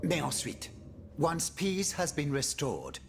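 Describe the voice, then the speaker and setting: An anxious male councillor in a historical game, with a gravelly, fairly high voice. He questions urgently, music behind him. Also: huge pitch swings, slow, heavily acted. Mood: worried.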